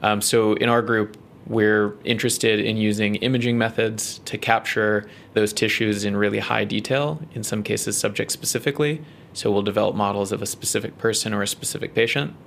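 A man with a New Zealand accent, a research scientist explaining his work in an interview, measured and full of ums and uhs. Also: fairly low pitch, steady tone, gravelly, a bit bright, a little hiss or hum.

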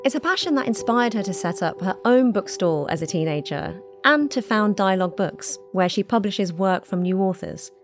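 Measured woman hosting a podcast. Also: mid-range voice, animated, music in the background. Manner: measured, clear questioning. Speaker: podcast host. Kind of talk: scripted narration and interview questions.

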